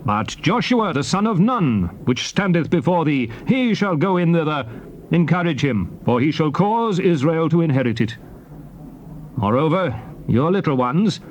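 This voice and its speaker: Casting: solemn prophet-leader for dramatized scripture, higher-set voice, widely swinging declamation, tape noise behind. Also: male voice. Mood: solemn, commanding. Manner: solemn, declamatory, archaic diction. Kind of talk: dramatized scripture reading, theatrical oratory.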